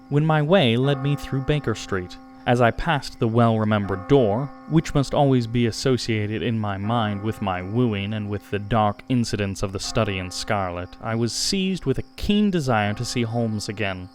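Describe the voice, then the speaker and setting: Male audiobook narrator for classic fiction: mid-range voice, very varied intonation, measured and dramatic phrasing. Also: mid-range voice. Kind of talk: scripted literary narration.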